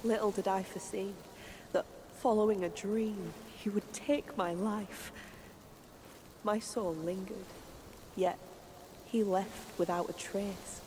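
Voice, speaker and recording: A woman's voice: medium pitch, a little mellow, natural voice. A woman playing a sorrowful fox spirit in a game, telling her tale in a formal, beseeching manner. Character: sorrowful, pleading female fox spirit in human guise. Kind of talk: dramatic game voice acting, storytelling. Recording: long hall reverb, clear background noise.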